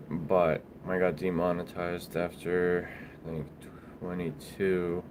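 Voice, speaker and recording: Male livestream host, low register, unscripted and halting delivery, loose conversational phrasing, faint noise behind the voice. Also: a little mellow. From Bulgaria. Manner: halting, rambling, informal. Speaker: livestream host, small business owner. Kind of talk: casual livestream chat answering viewers.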